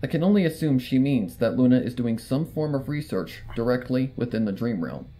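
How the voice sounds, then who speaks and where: A man's voice: mid-range voice, lively intonation, fairly quick, warm tone. A man reading a story aloud as a scripted first-person narrator, with acted dialogue. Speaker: fan fiction reader. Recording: music bed under the reading. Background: music.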